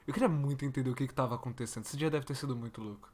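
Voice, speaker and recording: A man hosting a reaction video, making quick, casual, slangy comments. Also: medium pitch, darkish tone, slight background noise.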